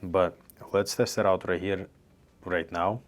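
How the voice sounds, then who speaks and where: Young guy w a really deep voice, chatty gear review vlog, pretty monotone. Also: measured pace. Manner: loose, chatty, unpolished phrasing with restarts. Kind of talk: casual product review vlog. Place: small indoor office room. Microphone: handheld reporter mic and lavalier, on-camera framing.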